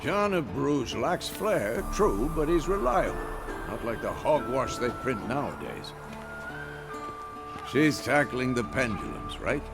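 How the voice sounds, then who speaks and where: Grumpy old witcher teacher, gravelly and up and down, music loud behind. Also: medium pitch, slow, full character voice. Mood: grumbling. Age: older. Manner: stern, grumbling, lively delivery. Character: gruff old male witcher mentor. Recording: game cutscene with loud music.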